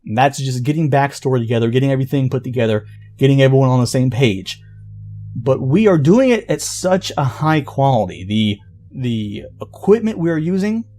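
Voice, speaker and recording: A man who writes an audio drama, explaining it in an earnest, informal pitch. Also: warm tone.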